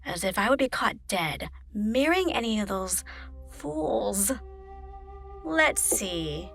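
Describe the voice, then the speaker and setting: A woman's voice: medium pitch, big pitch swings, slow, vocal fry, fairly bright tone, faint music behind, heavily acted. A woman playing a prim, sly and menacing spinster heiress in an audio play, with haughty, ornate phrasing. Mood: scornful, gloating. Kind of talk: theatrical audio play acting.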